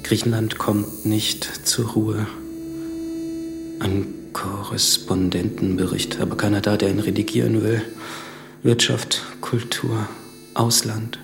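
An actor playing a solitary, reflective last man on earth in a radio drama, brooding and measured, with music behind him. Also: fairly low pitch, little pitch movement, vocal fry, background noise. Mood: sober, bewildered. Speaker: actor narrating a radio play. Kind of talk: literary radio drama monologue.

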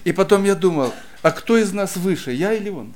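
A man preaching and telling a personal story in short phrases, pausing after each so it can be interpreted. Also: sits high, lively intonation, darkish tone, a little hiss or hum. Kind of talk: sermon testimony, interpreted line by line.

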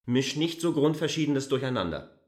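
An actor playing an indignant, stubborn outsider in a German radio drama, arguing insistently. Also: male voice, mid-range voice, big pitch swings, moderate pace, lightly acted. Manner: argumentative, insistent. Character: indignant, stubborn male outsider defending his beloved.

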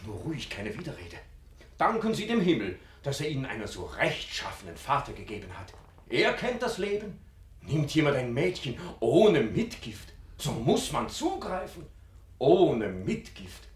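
A sly servant plays the stern moralist with theatrical emphasis in a radio comedy. His fairly high voice swings widely in pitch. The sound is roomy and echoing. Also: huge pitch swings, moderate pace. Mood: mock-solemn. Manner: theatrical, emphatic, mock-pompous. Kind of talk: classic German radio play comedy acting.

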